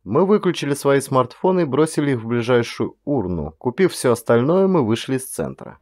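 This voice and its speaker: A man's voice: medium pitch, very animated, brisk, a little mellow, dry recording, studio quality. A man reading a first-person audiobook thriller as a dramatic scripted narration.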